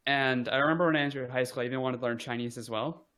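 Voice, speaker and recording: A man's voice: mid-range voice, steady tone, racing, fairly bright tone. A young man talking casually as an interview guest, rambling with many fillers and restarts. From United States. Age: young adult.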